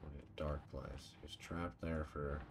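A man's voice: rumbling low, level tone, measured pace, noisy background. A man giving casual gameplay commentary in short, offhand murmured remarks. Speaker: let's play streamer.

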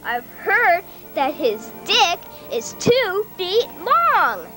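A woman's voice: extremely high pitch, big pitch swings, very slow, sharp tone, faint music behind, exaggerated voice. A woman voicing a smug, sing-song henchwoman.

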